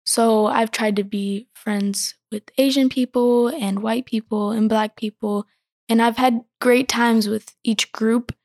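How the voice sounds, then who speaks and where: Teen girl guest, high-ish, dry close mic, hesitant and thoughtful. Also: fairly even intonation, slow, a little mellow, no echo. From born in Ecuador, raised in the United States. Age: teens. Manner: thoughtful, hesitant, many fillers. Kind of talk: interview answers.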